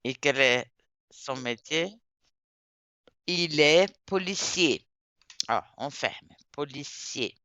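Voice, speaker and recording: Slow-speaking male teacher with a bright, crisp tone, recorded close and dry. He explains patiently and repeats key words so students can follow. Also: a little high, expressive, dry recording. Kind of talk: classroom instruction.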